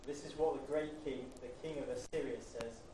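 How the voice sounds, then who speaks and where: A man from the study group reading a long Bible passage aloud in a steady, plain way. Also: medium pitch, brisk, noisy background. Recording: distant, echoey room mic.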